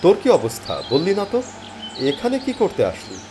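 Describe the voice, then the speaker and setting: A man playing a weary, penniless job seeker who tells his story in expressive, plaintive dramatic narration. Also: high voice, moderate pace, noisy background. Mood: plaintive, friendly. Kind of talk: first-person dramatic story narration and character dialogue. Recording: music behind the voice. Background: background music.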